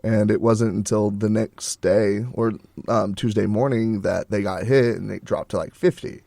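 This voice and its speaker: A young man co-hosting a college radio show, telling stories in a rambling, relaxed way with plenty of fillers. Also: fairly low pitch, moderate pace, creaky, no echo. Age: young adult. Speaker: co-host. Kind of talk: casual chat.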